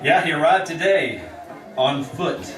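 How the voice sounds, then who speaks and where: An actor playing a terse, authoritative American frontier marshal in an old-time radio western. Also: male voice, fairly high pitch, very animated, a little slow, over music. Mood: dry, easy. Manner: terse, authoritative, plain-spoken. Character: steady, commanding frontier marshal, dry and firm. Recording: roomy, distant vintage radio recording with voices.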